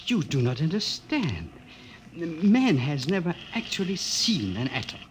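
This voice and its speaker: Elderly radio-drama scientist, formal and careful, lively rising and falling voice, faint hiss. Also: male voice, fairly high pitch, measured pace. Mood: earnest. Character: elderly male physicist, gentle and earnest. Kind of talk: 1950s radio drama acting.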